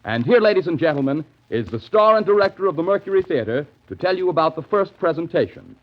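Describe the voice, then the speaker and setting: A man announcing formally and in measured phrases in the style of 1930s network radio. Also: fairly high pitch, lively intonation. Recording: dull vintage 1930s radio broadcast, music.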